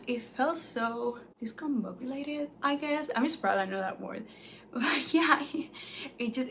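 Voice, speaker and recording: Young woman, spanish is her first language, gravelly fry in her voice, chatty book talk. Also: a little high, a little hiss or hum. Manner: chatty and animated, many "like"s, light laughs. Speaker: book reviewer. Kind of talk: casual chat to camera.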